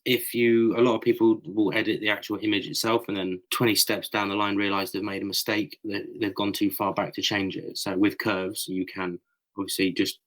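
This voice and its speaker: A British man with a slightly croaky voice, explaining his photo edits step by step in a casual podcast chat over a voice call. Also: fairly low pitch, a bit bright, rough recording. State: a bit croaky.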